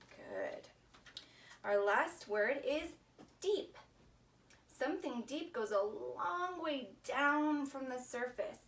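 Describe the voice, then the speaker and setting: Slow-paced woman teaching young children, slightly distant. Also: fairly high pitch, a bit bright. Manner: prompting, repeats words for children to echo. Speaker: teacher leading a children's story time. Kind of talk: instructional talk to young children. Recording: distant mic with faint background noise.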